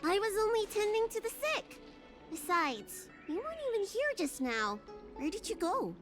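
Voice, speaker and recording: A very high, lively child's voice for an anime game. She is a stubborn, excitable dragon girl, indignant and emphatic. Game music plays clearly behind her. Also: normal speed. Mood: defensive.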